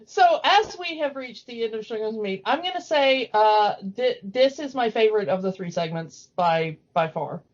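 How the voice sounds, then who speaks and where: A woman's voice: a little high, expressive, moderate pace, few pauses. A woman co-hosting a podcast, chatting casually about cartoons, recorded in dull lo-fi audio. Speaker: podcast co-host.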